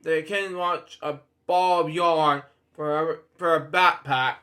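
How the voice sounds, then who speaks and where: A man with a fairly high voice reads a children's story aloud at a slow pace. He stumbles and halts over phrases, drifting into casual, rambling asides as he hosts. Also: steady tone, bright, forceful tone. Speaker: host reading bedtime stories.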